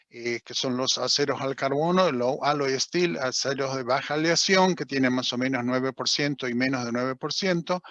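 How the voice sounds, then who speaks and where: A man with a foreign-sounding accent in Spanish delivers a technical talk, often pausing on fillers and restarting sentences. His voice is bright and forward. The audio is dull and dry, like a remote call. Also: mid-range voice, no echo. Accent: non-Argentine accent in Spanish. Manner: hesitant, many fillers and restarts. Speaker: seminar lecturer on corrosion. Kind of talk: technical webinar talk with slides.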